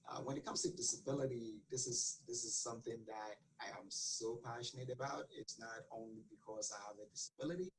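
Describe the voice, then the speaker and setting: Guy, lowish voice, earnest, lots of ums, bit of hiss behind. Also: rough recording. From Ghana. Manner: earnest, many fillers and restarts. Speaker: guest. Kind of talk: interview answers.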